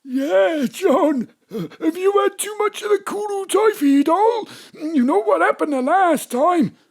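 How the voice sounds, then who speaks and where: A man playing an excitable, plaintive pub landlord in an audio drama, with a naive manner. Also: extremely high pitch, slow pace, exaggerated voice. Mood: taunting.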